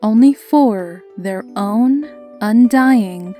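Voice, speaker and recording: A woman's voice: medium pitch, extremely slow, dark tone, soft background music. A woman reading scripted story narration.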